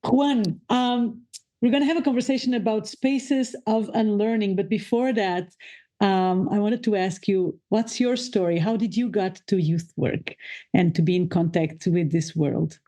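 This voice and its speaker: Mellow-voiced woman host. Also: mid-range voice, unhurried. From Portugal. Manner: friendly, laughs often, warm welcomes. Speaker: podcast host. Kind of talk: scripted intro then casual interview.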